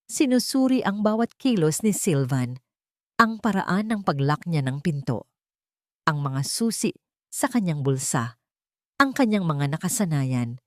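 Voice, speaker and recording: Low-voiced woman narrating a dramatic story. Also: very animated, a little slow, pauses often, dry recording, clean studio sound.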